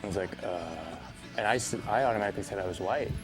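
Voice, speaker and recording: A man's voice: lowish voice, quick pace, music in the background. A man guest telling a personal story and acting out the exchanges he remembers.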